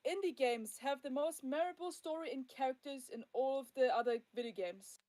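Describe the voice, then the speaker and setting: A woman on a casual group podcast over a voice call, starting slowly with lots of fillers, then speaking bluntly. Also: high voice, dark tone. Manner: hesitant, many fillers, blunt. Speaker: podcast guest.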